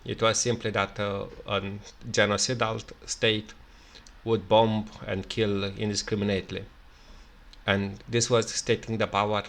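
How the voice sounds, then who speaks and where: A man with a Romanian accent, speaking English in an unscripted solo commentary, halting with many fillers and restarts. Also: lowish voice, flat delivery, creaky, fairly bright tone. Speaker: video commentator.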